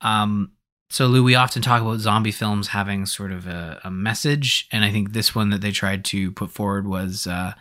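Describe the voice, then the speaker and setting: A man's voice: fairly low pitch, steady tone, moderate pace, fairly bright tone. A man hosting a podcast, discussing films in a casual, conversational and hedging way. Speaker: podcast host.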